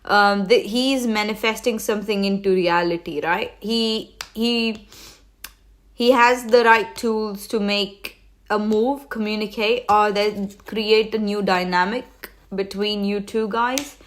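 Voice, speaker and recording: A woman's voice: mid-range voice, fairly even intonation, takes it slowly. A woman hosting a live tarot reading, talking loosely with many fillers and restarts, a cold coming on. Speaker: tarot reader hosting a livestream.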